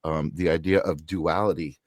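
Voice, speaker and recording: A man hosting a podcast interview, asking questions in a thoughtful, halting, agreeable way. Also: extremely low pitch, fairly even intonation, no echo, studio quality. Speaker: podcast host.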